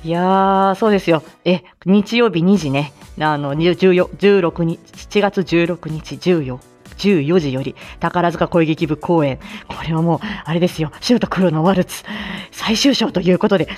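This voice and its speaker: A woman's voice: lowish voice, moderate pace, a little mellow, soft background music. A woman hosting a live morning audio show, chatting casually and reading out announcements in a bubbly manner that moves between cute and polite.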